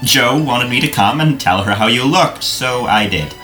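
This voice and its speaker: A man's voice: mid-range voice, expressive, moderate pace, sharp tone. A young man playing a frank, gallant teenage boy in an audio play, blunt but courteous. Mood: teasing. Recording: music playing behind the voice.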